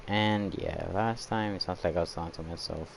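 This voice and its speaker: A man's voice: sits low, normal speed, creaky, slight background noise. A young man giving casual gameplay commentary on a stream, rambling hesitantly and often trailing off. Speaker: game live streamer.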